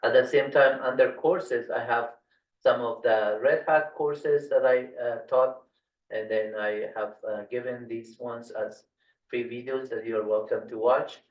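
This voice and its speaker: A man's voice: medium pitch, fairly even intonation. Guy from afghanistan, dari native, walking thru a tech tutorial, lots of uhs, echoey room, mic kinda far and muffled. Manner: halting, many fillers and restarts. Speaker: tutorial instructor. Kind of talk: screen-share tech tutorial. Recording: roomy, distant, dull lo-fi mic.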